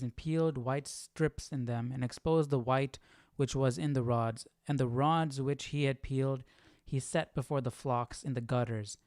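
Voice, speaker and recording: A man's voice: medium pitch, dry recording, studio quality. A man hosting a casual Bible study livestream, reading aloud and musing over it with informal questions. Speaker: livestream host.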